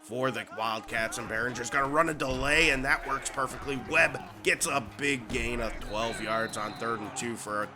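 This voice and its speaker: A man, sick and a bit nasal, giving excitable play-by-play commentary over a football video game. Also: medium pitch, moderate pace, hardly any pauses, music in the background. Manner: excitable, rising to shouts on big plays. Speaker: gaming YouTuber.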